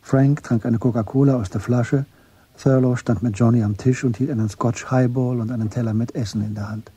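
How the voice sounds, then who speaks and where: A man narrating a German literary radio drama in a measured, understated way. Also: mid-range voice, fairly even intonation, dark tone, breathy edge, natural voice.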